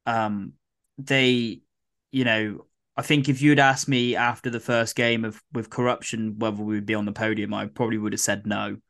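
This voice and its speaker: A man co-hosting a podcast in casual chat, his voice a bit croaky, rambling with lots of fillers and restarts. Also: fairly low pitch, fairly even intonation, moderate pace, fairly bright tone. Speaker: podcast co-host.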